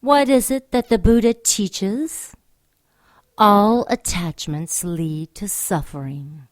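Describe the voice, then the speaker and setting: An actress playing a grave, ominous narrator, speaking in a solemn and measured manner in an audio drama. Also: female voice, mid-range voice, no room sound, studio quality, natural voice. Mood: grave, instructive. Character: grave, ominous female narrator teaching about guardians. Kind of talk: solemn scripted drama monologue.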